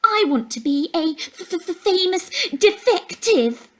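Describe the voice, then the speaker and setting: A woman's voice: very high voice, expressive, a little slow, pitched above the performer's own voice. A woman voicing a boastful schoolboy bully in a storybook, jeering in an exaggerated way. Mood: mocking.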